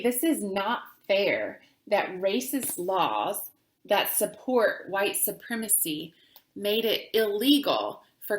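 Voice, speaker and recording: Slow, expressive woman reading in an echoey room. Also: medium pitch. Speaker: reader of children's books for a virtual summer camp. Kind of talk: read-aloud storytelling with asides to children.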